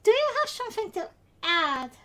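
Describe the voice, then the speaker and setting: A woman's voice: extremely high pitch, sing-song, moderate pace, fairly bright tone, slight background noise. A woman with a Dutch accent, a native Dutch speaker, hosting a casual podcast and talking hesitantly with many filler sounds. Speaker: podcast host.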